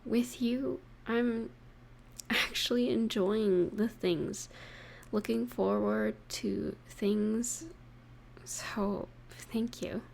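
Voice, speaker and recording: A high, slow-paced voice for a young, affectionate girlfriend acted by a woman in an intimate audio roleplay. She talks softly to her partner, playful and tender, leaving gentle pauses. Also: fairly even intonation, gravelly, slight background noise, exaggerated voice. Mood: tender, sincere. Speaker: voice actor in romantic audio roleplay. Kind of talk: intimate scripted roleplay addressed to the listener.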